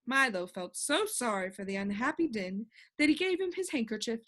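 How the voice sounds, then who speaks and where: A woman reading a children's storybook aloud in expressive narration. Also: on the high side, moderate pace. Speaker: audiobook reader.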